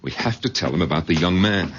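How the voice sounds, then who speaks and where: Deep-voiced, cautious family man. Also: animated, fairly quick. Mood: solicitous. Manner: careful, soothing, reassuring. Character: solicitous, cautious son-in-law of an old man. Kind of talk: old-time radio drama acting. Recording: noisy, dull old radio recording.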